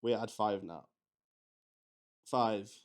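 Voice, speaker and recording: A man hosting a solo tabletop roleplaying game in casual, halting live-stream chat, thinking aloud and repeating words. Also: on the low side. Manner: swears casually.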